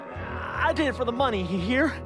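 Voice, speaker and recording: Scared Southern lowlife, stammering, frantic, evasive, pleading, video game drama, loud music. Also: male voice, lively intonation, moderate pace. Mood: nervous, defensive. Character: frightened small-time criminal man pleading for his life.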